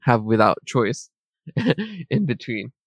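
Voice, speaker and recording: A man's voice: on the low side, takes it slowly, dry recording. A British man with a posh-sounding voice, chatting on a podcast in a rambling way with laughs between words. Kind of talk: casual podcast chat.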